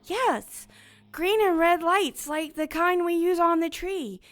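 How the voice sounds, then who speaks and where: A high-pitched, frightened little orphan girl. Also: fairly bright tone, heavily acted. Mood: earnest. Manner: childlike, earnest, halting. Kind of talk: audio drama acting.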